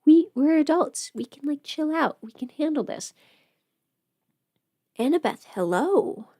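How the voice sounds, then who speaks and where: A woman's voice: medium pitch, darkish tone, dry recording. Chatty young woman, close dry sound. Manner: rambling, self-interrupting, informal, chatting to viewers. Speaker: livestreamer and audio performer. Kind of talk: casual livestream chat while putting on makeup.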